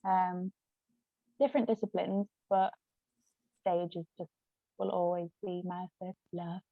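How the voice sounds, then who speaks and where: A woman's voice: lowish voice, level tone, a little slow, vocal fry, no room sound. A woman guest chatting casually and telling anecdotes about her own work. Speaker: guest, actress and author. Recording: thin phone-like audio, dry.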